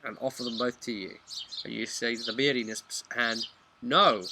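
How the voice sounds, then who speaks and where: A man's voice: mid-range voice, fairly even intonation, normal speed, noisy background. A man giving a casual, unscripted explainer as a video host, rambling along in a chatty, frank way. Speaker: video host and tabletop game master.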